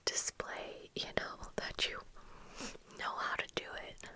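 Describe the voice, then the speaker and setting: A young adult woman whispering softly and breathily into a close microphone in casual, rambling, unhurried ASMR chat. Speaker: ASMR video creator. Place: small room at home with bookshelves. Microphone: close desk microphone with fluffy windscreen.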